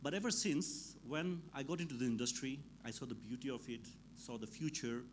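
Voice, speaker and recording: A man's voice: medium pitch, a little fast, slight background noise. A man raised in Dubai, born in Bangladesh, speaking informally and a bit ramblingly as an events-industry speaker. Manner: informal, chatty, a little rambling. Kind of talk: unscripted conference remarks.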